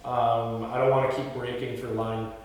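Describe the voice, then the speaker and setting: A man's voice: mid-range voice, flat delivery, moderate pace, darkish tone. A man leading a script table read as director, talking casually and decisively with a group, sometimes reading out stage directions. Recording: echoey reverberant room, distant mic, faint noise.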